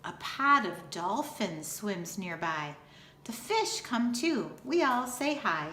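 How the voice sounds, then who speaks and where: Slow-paced woman reading to children, echoey room. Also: medium pitch, big pitch swings, bright, forceful tone. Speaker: school teacher reading aloud. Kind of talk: children's picture book read-aloud. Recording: roomy echo, faint background noise.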